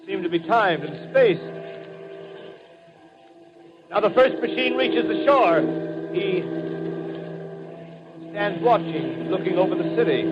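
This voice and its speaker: A grave, stoic newsman in a 1930s radio play. He reports slowly and haltingly in a fairly high male voice, solemn and clipped as an eyewitness to disaster. The old recording is dull and muffled.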